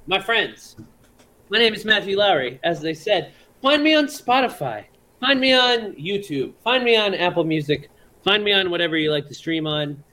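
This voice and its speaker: Bright-voiced, high-pitched male musician. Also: lively intonation, normal speed. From Florida. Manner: laid-back, playful, promoting his music. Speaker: guest musician. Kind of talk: casual podcast chat.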